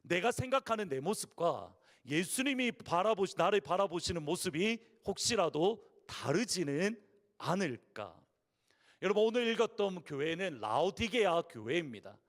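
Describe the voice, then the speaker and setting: A man with a Gyeongsang (Busan) accent preaching a Sunday sermon as pastor. His voice is hoarse, and he tells stories earnestly and asks the congregation rhetorical questions. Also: high voice, big pitch swings, normal speed. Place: large church sanctuary. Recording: long reverb of a church hall.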